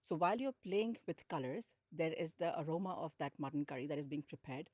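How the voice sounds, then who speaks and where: Podcast guest: woman, Indian accent, deep register, telling personal stories conversationally, very little room sound. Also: lively intonation, brisk, darkish tone, dry recording, clean studio sound. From India, grew up in Lucknow and Hyderabad. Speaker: podcast guest, hospitality executive. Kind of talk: conversational interview storytelling.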